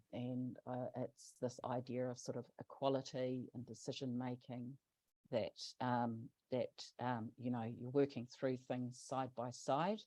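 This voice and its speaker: A man presenting a project in an online webinar, talking haltingly with many fillers and restarts. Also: mid-range voice, darkish tone, no room sound. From New Zealand. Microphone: video call. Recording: dull lo-fi call audio.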